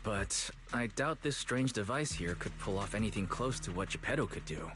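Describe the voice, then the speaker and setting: A man voicing a calm, skeptical male expert in a video game, with a deliberate, matter-of-fact delivery. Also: on the low side, over music, lightly acted.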